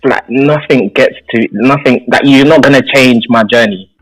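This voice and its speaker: Young man, medium pitch, casual, reflective, hesitant phrasing, radio guest, faint background music. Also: moderate pace, poor audio. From London, England. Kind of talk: casual reflective interview chat. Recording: background music under the talk.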